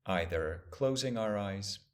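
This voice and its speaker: Male meditation guide, low register. Gentle, measured instructional delivery with spacious pauses. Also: animated. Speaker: meditation teacher.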